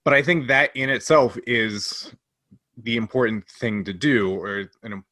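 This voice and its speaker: A man with a bright, punchy voice of middling pitch speaks as a podcast co-host. He explains things earnestly in casual talk, with a dry, close sound. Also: measured pace, no echo. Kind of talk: casual discussion.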